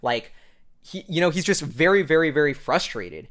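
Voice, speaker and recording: A man's voice: a little high, expressive, moderate pace, a bit bright. An American man giving casual, off-the-cuff commentary as a show host, rambling informally with plenty of filler phrases. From United States. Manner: informal, rambling, heavy use of "like" and "you know".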